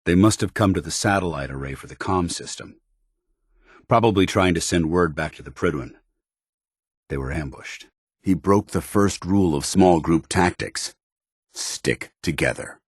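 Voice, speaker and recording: Casting: gruff, honorable military commander for a game, rasping voice, formal and earnest, very dry close recording. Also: male voice, sits low, moderate pace, no echo. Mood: grim, commanding. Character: stern, duty-bound military paladin, gruff and honorable adult man. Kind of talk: video game companion dialogue.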